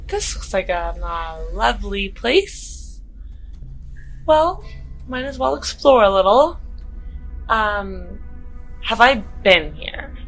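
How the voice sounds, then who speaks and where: A woman's voice: mid-range voice, big pitch swings, slow, long pauses, a bit bright, faint music behind, poor audio. A woman playing a tabletop game, chatting casually in and out of character. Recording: audible background noise.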